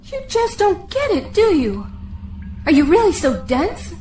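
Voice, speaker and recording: A woman's voice: extremely high pitch, big pitch swings, unhurried, mellow, exaggerated voice. Lady playing a guarded heartbroken woman prisoner, dramatic radio play style, echoey room, music under it. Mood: cold, exasperated. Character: guarded, heartbroken female prisoner, aloof and fatalistic. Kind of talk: dramatic audio drama acting. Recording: roomy echo with music underneath.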